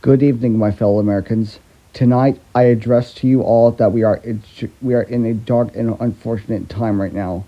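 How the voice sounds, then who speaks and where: A man playing a grave president in an amateur emergency broadcast drama, halting and repeating his phrases. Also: steady tone, close to an everyday voice. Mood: grave, resigned. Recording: dull, muffled low-fi broadcast audio.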